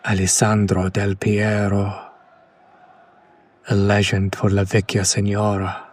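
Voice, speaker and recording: A man acting a slow, hushed, breathy yandere kidnapper. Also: fairly low pitch, completely flat delivery, some chatter behind. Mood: hushed, admiring. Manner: hushed, condescending, lingering over names. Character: condescending yandere male kidnapper, smitten with his captive. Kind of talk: whispered ASMR roleplay, slow soft recitation.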